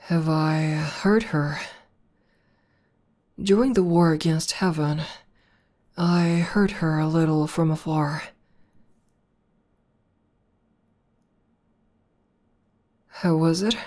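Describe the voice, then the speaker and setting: A woman acts out a muscular demoness girlfriend in an ASMR audio roleplay. Her voice is low and soft-edged, relaxed and very unhurried. She speaks with quiet pride and intimate ease, pausing as she thinks. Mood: calm, reminiscing. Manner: unhurried, hesitant pauses, conversational. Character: buff tomboy demoness girlfriend, proud battle legend, calmly affectionate.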